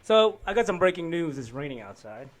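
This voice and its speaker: Man, podcast host, teasing, joking, slightly high voice. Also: big pitch swings, a little fast, soft background music. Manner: joking, teasing his guests. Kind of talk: casual podcast chat.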